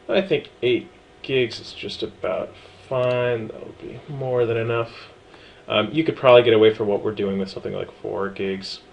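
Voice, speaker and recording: A man casually talking through a software setup tutorial, relaxed and chatty with frequent ums and small asides. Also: on the low side, gravelly, a little hiss or hum. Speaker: tutorial presenter. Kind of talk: screen-recorded software walkthrough.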